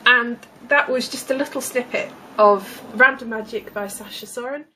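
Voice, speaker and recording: Casting: woman book reviewer, higher-pitched voice, vlog delivery with slight background noise. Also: normal speed, pushed and bright, low-quality recording. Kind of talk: book vlog with dramatic readings.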